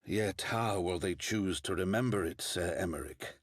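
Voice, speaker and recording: Grave nobleman, deep voice, sceptical, formal, rhetorical, fantasy game, dry recording. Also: moderate pace, no echo. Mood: brooding, sceptical. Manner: formal, pointed rhetorical delivery. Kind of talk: fantasy game cutscene acting.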